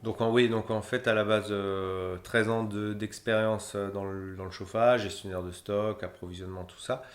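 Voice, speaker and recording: A man's voice: on the low side, little pitch movement, moderate pace. A man in his thirties, a humanitarian logistician, answering interview questions in a rambling way with many fillers and restarts. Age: 30s. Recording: roomy echo.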